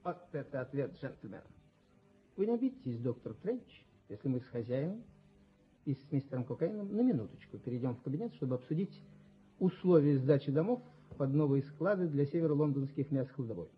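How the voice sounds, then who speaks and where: Husky, wheedling folksy businessman. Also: medium pitch, expressive, fairly quick. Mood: soothing, insistent. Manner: ingratiating, coaxing, familiar. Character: wheedling self-made businessman, folksy, scheming peacemaker. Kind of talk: Soviet radio play acting. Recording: muffled 1970s radio drama recording.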